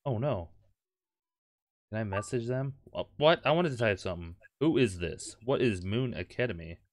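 A man's voice: on the low side, a little hiss or hum. A man doing let's play commentary, reading game text aloud with crude jokes and the odd stumble. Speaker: gameplay host.

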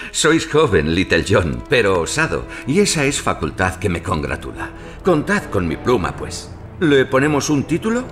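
A man's voice: lowish voice, huge pitch swings, moderate pace. An actor playing a pious, pedantic medieval friar in an audio drama, formal and indignant in his questioning. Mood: approving. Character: pedantic, pious medieval friar and scribe, scrupulous about truth. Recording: music behind the voice.

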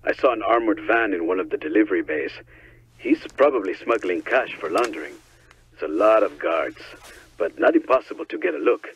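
An actor playing a gruff, low-key gangster's henchman in a video game, reporting matter-of-factly in a husky voice over a phone line. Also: sing-song, fairly quick, a little hiss or hum. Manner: matter-of-fact. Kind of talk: video game cutscene acting. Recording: dull band-limited phone or radio line.

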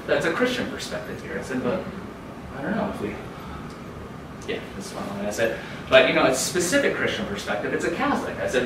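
A man giving a keynote lecture to an audience in a dark conference room, raising his pitch and volume to read quotations aloud. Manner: raises pitch and volume when reading quotes aloud. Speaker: keynote speaker. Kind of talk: lecture with quoted readings. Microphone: far camera across the room. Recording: echoey room from far off, audible noise.

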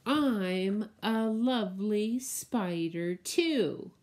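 A middle-aged woman, a preschool teacher, reading a picture book aloud to small children and explaining things simply in a deliberate, drawn-out way. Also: mid-range voice, animated, a little mellow. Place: indoors, room at home with window blinds.

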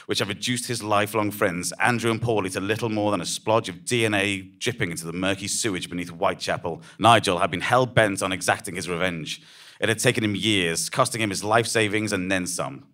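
A man reading scripted dramatic narration live on stage, in a measured, deadpan manner. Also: lowish voice.

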